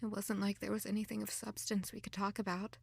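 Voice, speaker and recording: A woman's voice: mid-range voice, flat delivery, a little fast, creaky, breathy edge, no room sound. A young woman acting an emotional, self-blaming runaway in an audio drama. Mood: wistful, subdued. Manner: dramatic, anguished self-questioning.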